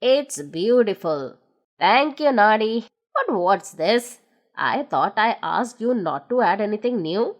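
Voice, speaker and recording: A woman putting on a fussy old gnome who speaks low, firm and emphatic. He sounds set in his ways, and his voice still rises and falls a lot in this storybook reading. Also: big pitch swings, takes it slowly.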